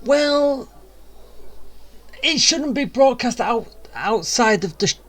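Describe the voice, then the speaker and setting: A woman's voice: a little high, lively intonation, takes it slowly, a bit bright. A woman giving casual gameplay commentary with wry, blunt asides. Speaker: video game streamer.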